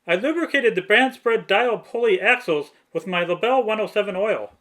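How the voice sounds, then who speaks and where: A man's voice: high-pitched, expressive, normal speed, fairly bright tone. A man reading scripted how-to narration for a hobby restoration in a methodical, matter-of-fact way. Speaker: hobbyist restorer narrating own repair video.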